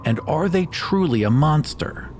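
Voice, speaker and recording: A man's voice: fairly high pitch, lively intonation, measured pace, darkish tone, a little hiss or hum. A man speaking as host and player on a tabletop gaming podcast. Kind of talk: casual podcast talk. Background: music.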